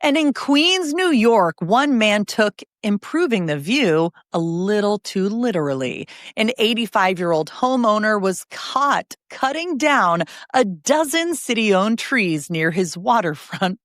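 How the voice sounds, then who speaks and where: A woman in her thirties hosting a news podcast, reading scripted headlines in a bright, conversational way with light asides. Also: medium pitch, animated, measured pace, dry recording, studio quality.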